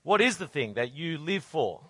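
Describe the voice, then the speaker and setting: A hoarse, croaky man preaches to a crowd, firing off earnest rhetorical questions. His voice sits a little higher than most men's and sounds close and dry, with no room echo. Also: sing-song, moderate pace, a bit bright. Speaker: conference speaker. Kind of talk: sermon.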